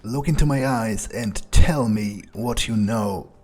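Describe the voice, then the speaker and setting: A man playing a smug, cynical vampire in a game roleplay, thinking aloud in a halting, sardonic way. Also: lowish voice, a little mellow. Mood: commanding. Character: smug cynical male vampire, talking to himself. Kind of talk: in-character gameplay roleplay, improvised muttering. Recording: game music behind. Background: video game music.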